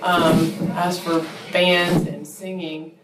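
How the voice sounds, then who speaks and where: A woman's voice: lowish voice, little pitch movement, takes it slowly, distant mic, a little hiss or hum. A young woman musician answering interview questions hesitantly, with many ums and restarts. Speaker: bluegrass musician. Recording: roomy echo, set back from the mic, faint music.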